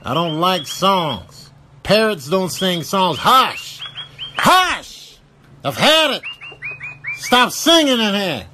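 A man's voice: sits high, big pitch swings, slow pace, noisy background, heavily acted. A man voicing an indignant, bossy house pet that rants and scolds in exasperation in a comic animal voice-over. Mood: exasperated, scolding.

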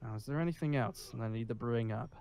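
A man playing an officious, somewhat awkward leader of a survivor haven in an improvised zombie roleplay, speaking formally with hesitant pauses. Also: medium pitch, a little mellow, a little hiss or hum, lightly acted. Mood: officious, careful. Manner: formal and rule-minded, with hesitant pauses and sighs.

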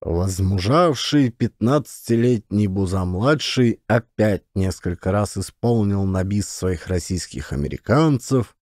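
A man narrating an adventure audiobook as a scripted story, with expressive, emphatic delivery. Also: on the low side, no room sound, clean studio sound. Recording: music behind the voice. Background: music.

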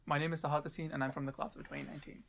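Young Pakistani-accented man, student, relaxed chatty delivery full of fillers, seated on camera in a small dark studio. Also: a little high, rapid-fire, vocal fry. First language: Urdu. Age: young adult. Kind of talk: casual personal interview.

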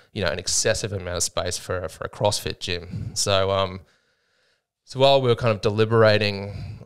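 A man's voice: fairly low pitch, a bit bright, smooth. An Australian man in his thirties telling his story in a casual interview, chatty with lots of fillers and laughs, on a studio microphone. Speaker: gym co-owner, guest. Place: small podcast studio. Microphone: studio mic with headphones.

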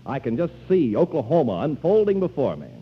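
A man's voice: on the high side, big pitch swings, brisk. A man announcing in the polished style of 1940s American radio. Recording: dull, muffled 1940s radio recording.

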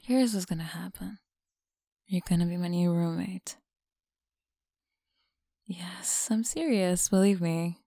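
A woman voicing a mean, teasing tsundere college bully in an intimate ASMR roleplay, creaky and often dropping into breathy whispers. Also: mid-range voice, mellow, no echo, clean studio sound.

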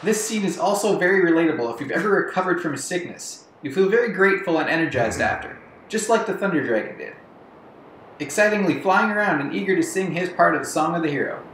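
A man's voice: fairly high pitch, expressive, quick pace, a bit bright, a little hiss or hum. A man reading scripted countdown commentary as a video narrator. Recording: roomy echo, music bed. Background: background music.

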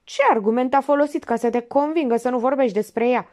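A woman's voice: sits high, a little mellow, pitched above the performer's own voice, close to an everyday voice. Woman reading as a sarcastic older lady sleuth. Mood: mocking. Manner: mocking, needling, pointed. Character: sharp sarcastic older female amateur detective.

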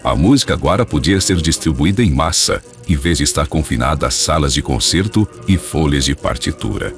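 An extremely deep-voiced man narrates a scripted radio drama. His delivery is formal and dramatic, over prominent music. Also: expressive, a little fast.

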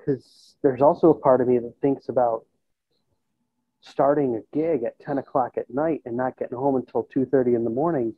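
Husky man on a tinny call. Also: medium pitch, steady tone, moderate pace, no room sound. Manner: conversational, thinking aloud, checks in with "you know" and "right?". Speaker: musician and school band teacher being interviewed. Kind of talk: casual reflective interview talk. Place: indoors in a plain room on a video call. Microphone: earbud headset. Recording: tinny, band-limited video call audio.

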